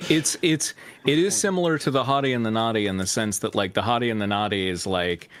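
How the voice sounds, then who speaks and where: A man chatting casually with a group as a show co-host, rambling and halting as he goes. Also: on the low side, a bit bright, a little hiss or hum. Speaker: co-host of a fanfiction reading show.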